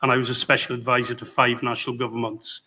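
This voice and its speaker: A middle-aged man whose voice is hoarse and somewhat croaky. He speaks in a flat, monotone but bright tone, pausing on fillers and restarting phrases as he addresses a hustings in a public hall. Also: medium pitch. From Pembrokeshire, Wales. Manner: halting, with many fillers and restarts. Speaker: election candidate. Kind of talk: hustings speech.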